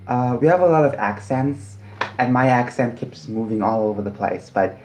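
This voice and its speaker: A man's voice: mid-range voice, expressive, moderate pace, a little mellow, a little hiss or hum, low-quality recording. A man from South Africa chatting casually on a livestream while eating, rambling and often apologizing.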